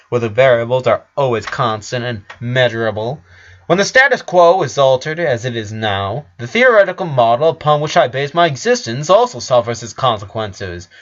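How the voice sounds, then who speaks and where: A man voicing a precocious little girl scientist with a calm, wordy, clinical delivery, on a dull recording. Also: mid-range voice, close to an everyday voice.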